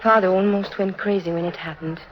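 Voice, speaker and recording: A woman's voice: mid-range voice, fairly even intonation, fairly quick, natural voice. A woman playing a lonely, earnest teenage circus orphan who confides sorrowfully in a 1950s radio drama. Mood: sad, confiding. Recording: muffled vintage 1950s radio recording.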